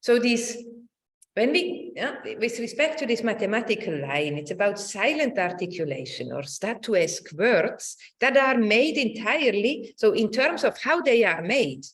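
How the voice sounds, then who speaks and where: A middle-aged woman giving a semi-improvised academic talk over a video call, haltingly searching for words and checking with a questioning tag. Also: fairly high pitch, big pitch swings. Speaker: academic lecturer. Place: indoor room, online presentation.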